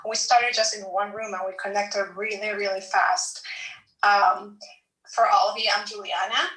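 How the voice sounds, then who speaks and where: A woman's voice: mid-range voice, fairly even intonation, sharp tone. A woman with a Peruvian accent, a business coach speaking eagerly and motivationally in a live audio chat room. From Peru. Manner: eager, motivational, quick bursts. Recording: roomy, distant mic.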